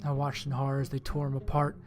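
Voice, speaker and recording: A man's voice: mid-range voice, completely flat delivery, fast. Man narrating a horror story over music. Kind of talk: dramatic scripted narration in first person. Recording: music under the voice.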